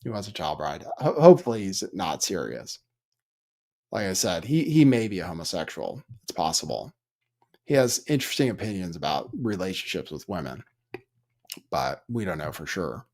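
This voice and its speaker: A man's voice: low voice, sing-song, moderate pace, darkish tone. A man hosting a livestream, commenting casually in an offhand, dry way with rambling asides. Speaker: livestream host.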